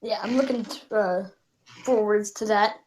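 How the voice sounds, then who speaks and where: Hesitant, halting woman chatting. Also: mid-range voice, steady tone, a little mellow, low-quality recording. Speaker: puppeteer guest. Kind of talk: casual chat.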